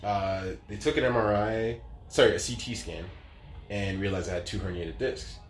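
A man's voice: lowish voice, normal speed, echoey room, faint music behind. A man in his late thirties telling his story as an interview guest, casual and rambling, with lots of fillers. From Canada. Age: 30s.

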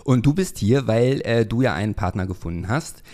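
A man's voice: on the low side, lively intonation, brisk, dark tone. A man hosting a casual podcast interview, chatty, with many fillers and self-corrections. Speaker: podcast host. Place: room in a Berlin flat.

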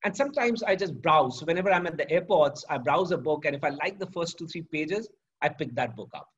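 A middle-aged man, a professor answering interview questions in a measured, storytelling way. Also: fairly high pitch, lively intonation, a bit bright, breathy edge. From India. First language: Sanskrit. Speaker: guest, professor. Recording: dull lo-fi audio.

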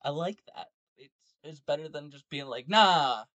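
A man co-hosting a casual gaming podcast, thinking aloud in a halting way. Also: a little high, lively intonation, a bit bright, no room sound. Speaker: podcast co-host. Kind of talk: casual tabletop gaming chat. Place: online voice call, dry sound.

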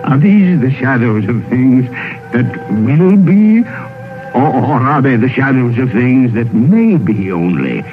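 An older actor playing an excitable old miser in a vintage radio drama, theatrical and stammering. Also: male voice, medium pitch, takes it slowly. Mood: fearful. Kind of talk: 1930s-40s radio drama acting. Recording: old radio broadcast, narrow band, music.

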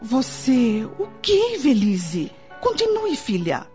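A woman with a Brazilian accent playing a caring, protective middle-aged mother-in-law in a radio soap opera, speaking in a measured, theatrical, motherly way. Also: a little high, wildly swooping pitch, a little mellow, full character voice. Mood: disbelieving. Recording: dull lo-fi radio drama, music underneath.

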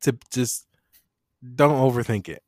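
A man's voice: medium pitch, measured pace, no room sound. Laid-back slangy man, dry close sound. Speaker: podcast host. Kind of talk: casual fantasy football chat.

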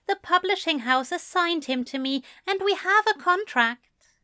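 Woman playing a polite man, high soft-hearted voice, sad and genteel, fretful, storybook character, dry sound. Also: unhurried, dry recording. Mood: sad, resigned. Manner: genteel, old-fashioned politeness.

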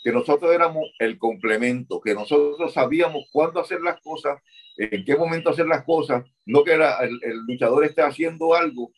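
A man's voice: on the high side, brisk, husky. An older man, a former wrestling manager answering interview questions and repeating words as he recalls.

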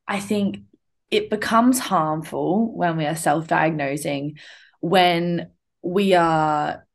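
Young woman podcast host, low voice, reflective, lots of likes and ums. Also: takes it slowly, gravelly. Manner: reflective, uses many fillers like "like" and "um". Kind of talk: casual podcast conversation.

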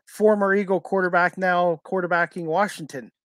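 A man hosting a casual podcast, talking in a halting way with many fillers and restarts. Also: high-pitched, fairly even intonation, a bit bright, no room sound, clean studio sound. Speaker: podcast host.

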